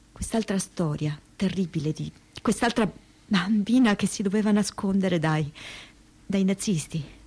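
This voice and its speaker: A woman acting a troubled, reflective daughter of a Holocaust survivor in a staged testimony reading, with searching, halting phrasing. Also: on the low side, a little mellow. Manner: hesitant, searching phrasing with pauses and repetitions. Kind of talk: dramatic reading of spoken testimony.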